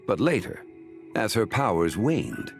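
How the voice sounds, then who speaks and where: A composed, ancient male sage from a fantasy game. His voice sits low yet moves with expressive inflection, and he explains things in stately, archaic phrasing. Soft music plays underneath. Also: gravelly, exaggerated voice. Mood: solemn, explanatory. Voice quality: deep, measured. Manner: formal, deliberate, archaic phrasing. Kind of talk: scripted video game dialogue.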